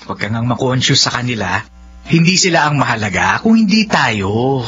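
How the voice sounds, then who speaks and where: A man's voice: medium pitch, big pitch swings, normal speed, fairly bright tone, a little hiss or hum. A man acting an earnest, devoted young suitor in a romantic radio drama, ardent and pleading. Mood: tender. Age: young adult. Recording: dull lo-fi audio with music.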